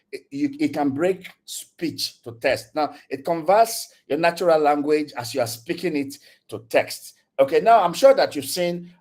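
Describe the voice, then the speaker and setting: A man's voice: on the high side, darkish tone. A man with a Nigerian accent giving a casual online tech lecture over a video call, chatty and repetitive, often checking in with "okay?". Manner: chatty, repeats words, frequent "okay?" check-ins. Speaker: guest speaker, tech community leader.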